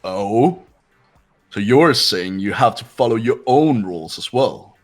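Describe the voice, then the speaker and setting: A man voicing a composed, haughty young man in a fan-dubbed game, speaking in a measured, aloof and formal way. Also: lowish voice, animated, soft background music. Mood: cool, probing. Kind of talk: fan voice acting of a visual novel game.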